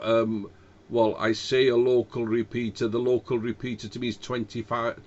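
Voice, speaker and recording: A dull, band-limited radio recording of a man with a lowish-to-middle pitched voice. He talks as a ham operator making a contact, courteous and hesitant, pausing often. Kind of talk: ham radio contact with spelled-out call signs.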